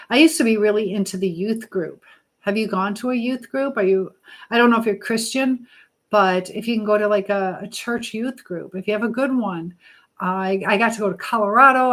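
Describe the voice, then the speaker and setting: Woman, live stream host, earnest exhorting advice, halting delivery with pauses, mid-pitched voice. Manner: religious encouragement. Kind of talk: live chat advice, reading out and answering viewer comments.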